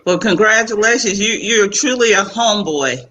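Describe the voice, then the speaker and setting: A woman's voice: mid-range voice, moderate pace, sharp tone, a little hiss or hum. A woman hosting a talk show panel in a chatty, casual way over a video call, often given to laughing. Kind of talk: casual chat moderating a panel.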